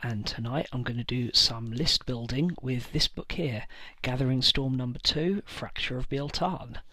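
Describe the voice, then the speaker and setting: A man hosting his own tabletop wargaming channel, talking casually through army lists with frequent pauses and filler words. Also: mid-range voice, bright, forceful tone, no echo. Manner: hesitant, many fillers and pauses. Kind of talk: casual list-building talk to camera.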